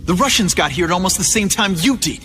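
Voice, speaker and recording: A wisecracking young superhero from a video game, cocky yet earnest. His voice is high and lively, swinging around as he teases. Music plays clearly behind him in a long hall reverb. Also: quick pace. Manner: quippy, teasing. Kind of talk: video game cutscene acting.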